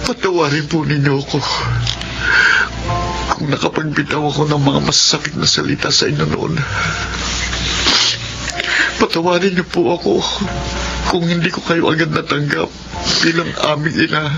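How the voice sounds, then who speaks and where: Creaky, pleading grown son. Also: male voice, a little high, steady tone, moderate pace, long pauses. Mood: remorseful, pleading. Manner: pleading, earnest, respectful. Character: remorseful, loving grown eldest son begging his mother's forgiveness. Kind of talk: Filipino radio drama acting. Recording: dull audio under loud music.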